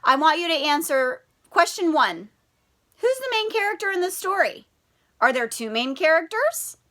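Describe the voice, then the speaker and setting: High, bright-voiced woman teaching young children. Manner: addresses children directly with prompting questions. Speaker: primary school teacher. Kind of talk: children's read-aloud and lesson with questions.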